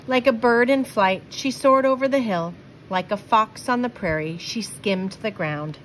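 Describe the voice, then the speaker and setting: A woman reading a children's picture book aloud, with expressive, deliberate phrasing. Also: a little high, slight background noise, rough recording. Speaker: storybook reader.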